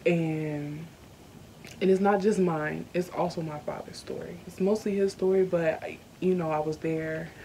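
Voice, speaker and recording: A young woman from the South, candidly telling a personal story on her vlog, earnest and slowed by emotion. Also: lowish voice, fairly even intonation, warm tone, slight background noise. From Southern United States. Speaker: YouTuber. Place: indoors at home.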